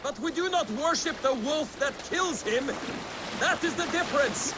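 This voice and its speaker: A scoffing, boastful Viking raider, pitched very high for a male. He talks like a game cutscene warrior hungry for plunder, over noisy water and boat sounds. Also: lively intonation, normal speed. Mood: scornful, boastful. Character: brash, scornful Viking warrior man eager for plunder. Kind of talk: video game cutscene acting. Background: water and boat noise.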